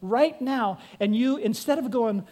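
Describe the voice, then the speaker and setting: A woman pastor preaching with earnest conviction. Her voice sits slightly high, and she often turns to the congregation with direct questions before pressing her point home. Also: a little slow, dark tone. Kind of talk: sermon.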